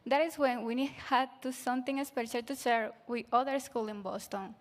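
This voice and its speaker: A teenage girl whose first language is Spanish, presenting a school project in a plain, slightly halting way. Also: fairly high pitch, fairly even intonation, big-room sound. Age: teens. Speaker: student presenter.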